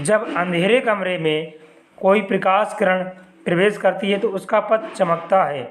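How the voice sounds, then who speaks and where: A young man with a high voice teaches a chemistry lesson. His throat is sore and he clears it often. He keeps asking his listeners a question and then answering it himself, and he adds a polite "ji" to his sentences. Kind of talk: exam-prep lesson explaining diagrams.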